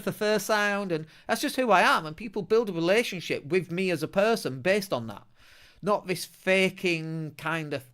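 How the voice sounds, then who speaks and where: A middle-aged man with a Leeds accent hosting a solo podcast in a chatty, blunt way, with self-mocking humour. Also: a little high. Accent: Northern English, Leeds. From England. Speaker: podcast host. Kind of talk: casual solo podcast talk.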